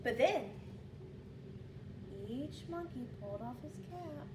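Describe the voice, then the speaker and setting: A woman teacher reading a story aloud to young pupils, expressive and often addressing listeners with questions. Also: high-pitched, slow pace. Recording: roomy, distant home recording with noise.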